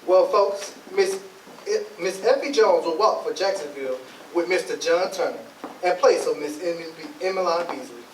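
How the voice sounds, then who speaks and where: A man's voice: fairly high pitch, normal speed, darkish tone, close to an everyday voice. A man acting a showy master of ceremonies, announcing grandly in a stage reading. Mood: official, showy. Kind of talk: stage readers theatre announcing. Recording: echoey, distant mic, clear noise, clicks.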